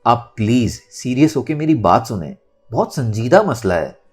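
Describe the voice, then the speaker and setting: A man's voice: medium pitch, sing-song, moderate pace, slightly rough, faint music behind. A man voicing a defiant, outspoken young woman in an audio novel. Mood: determined. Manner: bold, firm, outspoken. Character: defiant young woman standing up to family patriarch.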